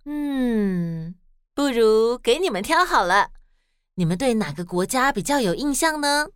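Taiwanese woman storyteller, fairly high voice. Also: very animated, a little slow, clear, resonant tone, no echo, clean studio sound. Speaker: host and storyteller of a children's podcast. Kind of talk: expressive children's story narration.

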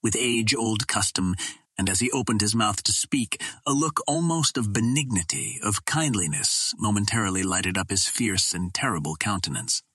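A man narrating an old adventure novel in a measured, theatrical way, as a scripted dramatic reading. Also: on the low side, lively intonation, fairly bright tone, slightly rough, no echo, clean studio sound. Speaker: audiobook narrator.

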